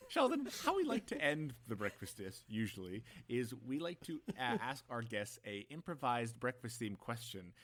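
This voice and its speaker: A man's voice: mid-range voice, wildly swooping pitch, moderate pace, hardly any pauses, a bit bright. Young man hosting, wry and casual. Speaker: podcast host.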